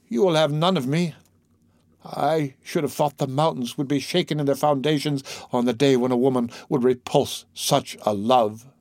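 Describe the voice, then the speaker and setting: A man's voice: on the high side, a little mellow, no room sound, studio quality, pitched above the performer's own voice. A man reading the part of an anguished priest desperately pleading his obsessive love, in impassioned, theatrical declamation. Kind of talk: audiobook character reading.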